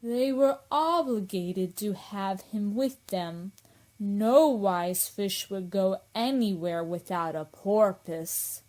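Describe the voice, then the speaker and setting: Radio play creature role: woman as doleful elderly turtle, ponderous and very drawn-out, middle register. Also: mid-range voice, darkish tone, lightly acted. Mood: earnest, pompous. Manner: ponderous, drawn-out, sighing delivery. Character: mournful, slow-speaking old Mock Turtle creature.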